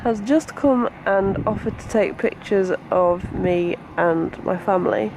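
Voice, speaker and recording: A young woman talks casually to her camera in a holiday vlog. Her delivery is slow and drily humorous, at an ordinary mid pitch. Clear ambient noise surrounds her voice on the handheld recording. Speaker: vlogger. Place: on the move, outdoors and in a car while on holiday.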